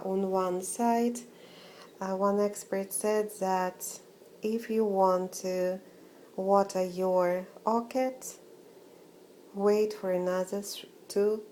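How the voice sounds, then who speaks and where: A woman whose first language is Russian speaks slowly and haltingly, often restarting her sentences and filling gaps with "uh". Her voice is soft and mellow, with a faint hiss underneath. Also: mid-range voice. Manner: hesitant, many fillers and restarts. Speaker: orchid-growing vlogger. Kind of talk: casual home vlog.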